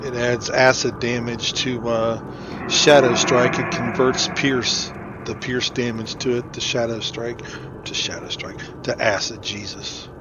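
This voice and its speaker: A man's voice: mid-range voice, fairly even intonation, a little slow, highs cut off, background noise. A man giving casual game commentary, thinking aloud and muttering to himself as he plays. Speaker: gamer doing a playthrough. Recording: game music behind. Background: loud game music.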